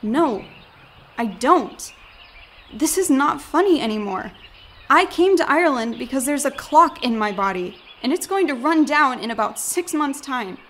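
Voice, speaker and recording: A woman acts a young tourist in a radio drama, skeptical and quick to sarcasm. Her voice is fairly high, with faint birdsong behind it. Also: lively intonation, a bit bright, slight background noise. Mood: agitated. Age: young adult. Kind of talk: radio play acting. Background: birdsong, woodland ambience.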